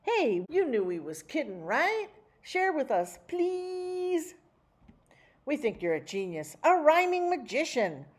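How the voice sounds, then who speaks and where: A woman's voice: sits high, big pitch swings, takes it slowly, voice pushed up, exaggerated voice. A woman voicing rotten bullying street dogs from a storybook, with exaggerated jeering, wheedling delivery. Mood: pleading. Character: two rotten bullying street-dog brothers, mocking, rough, wheedling.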